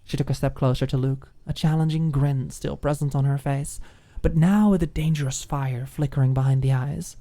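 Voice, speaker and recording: A woman's voice: extremely low pitch, steady tone, moderate pace, warm tone. A woman reading scripted story narration as an audiobook narrator.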